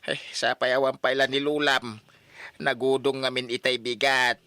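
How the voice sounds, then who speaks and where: A man's voice: medium pitch, flat delivery, moderate pace, bright, forceful tone, clear, resonant tone, no room sound, close to an everyday voice. A man acting a concerned old grandfather in an Ilocano radio drama.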